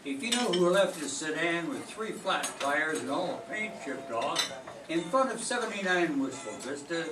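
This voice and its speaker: An older man announcing in an old-time radio style, measured and formal. His voice is a little high. He is recorded from across an echoey room, with music clearly behind him. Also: distant mic.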